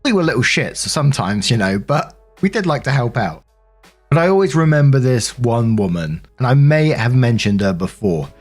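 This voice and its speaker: A man's voice: mid-range voice, very animated, moderate pace, soft background music. A British man hosting and narrating stories casually, in a chatty, rambling, sweary way. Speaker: narrator and host of a story compilation. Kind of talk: casual storytelling with personal commentary.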